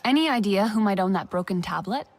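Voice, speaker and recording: An actress playing a young Na'vi woman in a video game, a wary and curious investigator with a measured, questioning manner. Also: medium pitch, animated, no echo, close to an everyday voice. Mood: probing. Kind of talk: video game dialogue acting.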